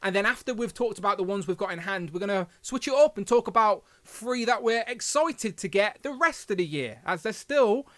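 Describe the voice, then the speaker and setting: Host, male, lilt, slight lisp, high-pitched rambling chatter, dry close sound. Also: lively intonation, a little fast, hardly any pauses, fairly bright tone, no room sound. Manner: chatty, rambling, self-deprecating. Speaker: live stream host. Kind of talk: casual live stream chat.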